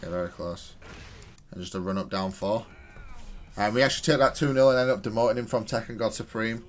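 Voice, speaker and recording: Low-voiced man analysing his gameplay. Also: fairly quick, faint music behind. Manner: self-correcting, analytical, thinking aloud. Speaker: video game player and content creator. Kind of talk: casual gameplay commentary, analysing own match.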